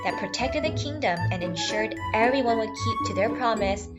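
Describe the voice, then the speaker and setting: A woman's voice: on the high side, lively intonation, moderate pace. A woman reading a scripted fairy tale for children as a storyteller. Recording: slightly dull sound, music bed.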